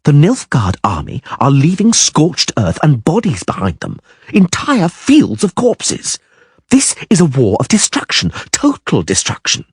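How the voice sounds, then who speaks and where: A man's voice: on the high side, wildly swooping pitch, measured pace, breathy edge, dry recording, studio quality, voice pushed up, full character voice. A man voicing a panicked, talkative young male bard who pleads in a frantic, breathless way. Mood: grave. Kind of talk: audiobook character voice.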